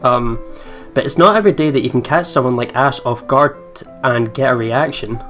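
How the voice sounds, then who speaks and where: A man's voice: mid-range voice, normal speed, a bit bright. Male let's play host, mid-range voice, narrating story text with casual asides, light guitar music behind. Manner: informal, giggly, sweary asides. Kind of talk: reading a visual novel aloud with casual reactions. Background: game's guitar music.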